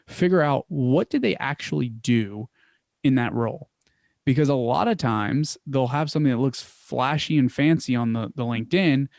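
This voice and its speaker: A young man with a Philadelphia accent, answering interview questions casually, trailing off quietly at times, with many fillers and restarts. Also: mid-range voice, no echo, clean studio sound. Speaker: podcast guest, founder.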